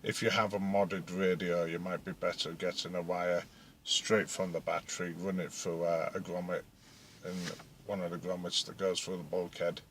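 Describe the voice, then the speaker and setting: A man's voice: sits low, little pitch movement, moderate pace. A British man giving a casual DIY vlog explanation in an informal, hesitant, rambling way that tends to trail off. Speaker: hobbyist video host. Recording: echoey, clearly noisy home-video sound.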